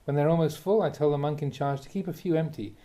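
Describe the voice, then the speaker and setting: A man, a Buddhist monk, reads a translated spiritual talk aloud in a measured, emphatic way, posing rhetorical questions. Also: on the high side, warm tone. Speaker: Buddhist monk reading a teacher's talks aloud. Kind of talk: reading a translated Dharma talk aloud, with brief asides.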